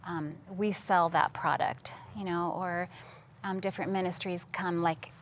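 A woman from Costa Rica speaks as a guest in a studio interview. Her voice sits slightly low and her delivery is earnest, like a personal testimony told in conversation. Some background noise is audible. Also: fairly even intonation, unhurried. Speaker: interview guest, missions worker. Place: TV studio, seated at a table.

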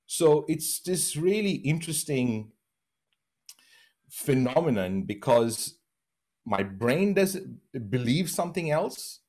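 A man's voice: fairly high pitch, very animated, slow, a little mellow. A man from Bahrain in his thirties, a podcast guest talking earnestly from his home over a video call, laughing often and restarting his sentences. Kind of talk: reflective interview conversation.

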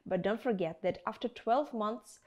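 Female presenter, young adult, mid-range pitch, for scripted promotional review addressed directly to viewers. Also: moderate pace, a little mellow, dull audio. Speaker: video presenter. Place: indoor studio with plain backdrop.

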